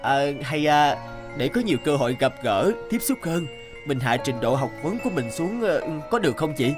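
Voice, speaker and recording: A man's voice: fairly high pitch, very animated, moderate pace. A man voicing a polite, hesitant young matchmaking agent in a cartoon. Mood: apologetic, tactful. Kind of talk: cartoon voice acting. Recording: music behind the voice.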